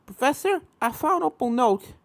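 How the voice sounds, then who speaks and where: A woman's voice: high-pitched, expressive, normal speed, vocal fry, voice pushed up. A woman voicing a plucky, cheeky young cartoon filly with folksy country phrasing, in a story reading. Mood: matter-of-fact.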